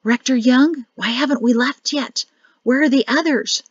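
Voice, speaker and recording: A woman with a rough, crackling voice voicing a nervous, eager young man asking urgent questions. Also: high-pitched, normal speed, no echo, muffled recording, pitched above the performer's own voice.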